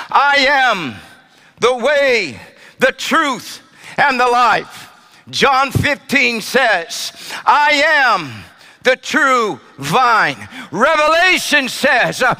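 Man, sermon delivery, very bright and forceful, slow-paced, declamatory, emphatic. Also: very high-pitched. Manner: emphatic, declamatory, often raising voice to a shout. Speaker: preacher.

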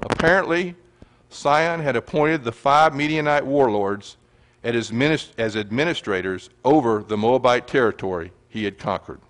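A man's voice: mid-range voice, lively intonation, moderate pace, fairly bright tone. A man gives an earnest sermon-style teaching and reads scripture aloud to a congregation. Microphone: handheld or stand mic.

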